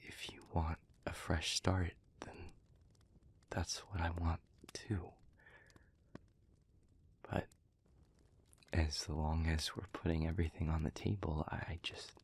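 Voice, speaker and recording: Very deep, hushed man as a hesitant demon. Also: flat delivery, exaggerated voice. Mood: hesitant, earnest. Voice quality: breathy, hushed, near-whispering low voice. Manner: halting, earnest, careful, with long pauses. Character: gentle, hesitant, remorseful male demon speaking intimately to listener. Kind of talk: intimate audio roleplay acting.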